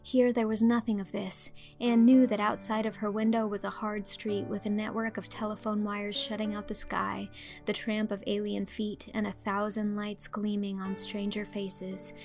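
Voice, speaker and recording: A woman reading a literary story as an audiobook narrator, with expressive, clearly phrased storytelling. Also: mid-range voice, moderate pace, faint music behind.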